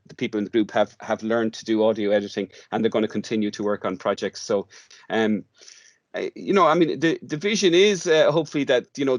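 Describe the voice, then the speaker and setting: Irish male interview guest, lower-pitched, halting and conversational, close dry sound. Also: no echo. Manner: hesitant, halting delivery with repeated words. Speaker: guest, radio drama workshop artist.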